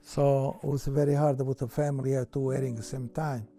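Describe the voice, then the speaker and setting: An older man from Italy with an Italian accent and broken English, slowly reminiscing in an interview with many restarts. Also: mid-range voice, flat delivery, warm tone, faint music behind.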